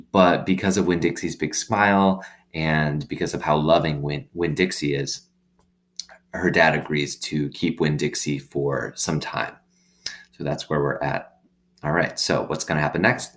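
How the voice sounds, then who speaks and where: Low-voiced man reading a story aloud. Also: fairly even intonation, unhurried, fairly bright tone. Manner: explains simply, checks in with tag questions. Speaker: teacher reading aloud to students. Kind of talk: read-aloud with explanatory asides.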